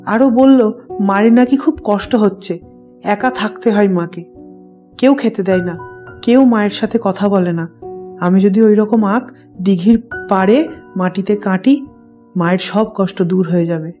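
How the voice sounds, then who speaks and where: A woman's voice: medium pitch, measured pace, long pauses, warm tone, natural voice. A woman playing an innocent young village boy who tells a horror tale simply, like a child. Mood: wistful, trusting. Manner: simple, childlike first-person storytelling. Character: innocent young village boy confiding eerie dreams of his dead mother. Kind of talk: dramatized horror audio story. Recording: music bed behind the voice.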